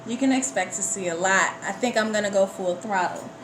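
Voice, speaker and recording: A woman's voice: medium pitch, a little fast. A woman chatting casually as an interview guest, earnest and motivational in her manner. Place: indoor room at a live online broadcast. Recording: roomy live sound, faint noise.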